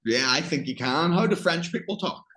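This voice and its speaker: A man's voice: a little high, expressive, moderate pace, pushed and bright. A man with a Northern Irish accent teaching English one-on-one, explaining patiently with examples and questions. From Northern Ireland.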